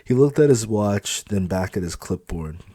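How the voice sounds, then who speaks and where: A man's voice: lowish voice, lively intonation, normal speed, dark tone, husky. A man reading scripted first-person fiction as an audiobook narrator.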